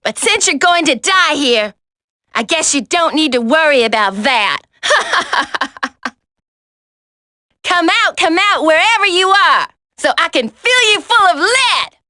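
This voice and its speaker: A sadistic video game villainess, gloating and taunting. Her voice is extremely high and bright, and she draws her words out very slowly. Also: big pitch swings, no echo, studio quality, heavily acted. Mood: gloating menace. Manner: taunting, drawn-out.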